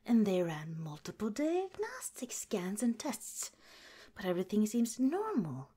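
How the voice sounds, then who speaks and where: A woman voicing a gentle, caring female head scientist of a secret underground lab, speaking in a soft, slow whisper. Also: mid-range voice, huge pitch swings, breathy edge, full character voice. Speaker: ASMR roleplay performer. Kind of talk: ASMR roleplay.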